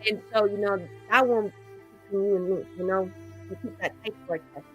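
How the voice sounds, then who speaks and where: A woman's voice: medium pitch, fairly even intonation, brisk, a bit bright, dull audio, soft background music, natural voice. A woman playing a brash, grandiose young female mage revolutionary in a tabletop game, theatrical and slangy. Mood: secretive. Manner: theatrical, self-important, casual slangy phrasing.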